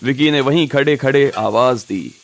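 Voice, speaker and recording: A man's voice: medium pitch, unhurried, a little hiss or hum. A man narrating a scripted audio drama story.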